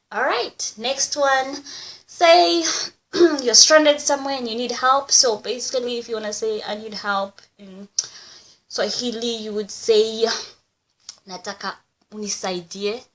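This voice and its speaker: A woman's voice: mid-range voice, fairly bright tone. Chatty young Kenyan woman vlogging. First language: Kikuyu. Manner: chatty, self-conscious, upbeat. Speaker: YouTuber. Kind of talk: casual vlog, teaching phrases.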